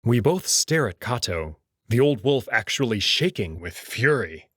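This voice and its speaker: A man's voice: medium pitch, sing-song, a little slow, fairly bright tone, no echo, clean studio sound. A man narrating a visual novel as a scripted, dramatic read-aloud. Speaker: host and narrator.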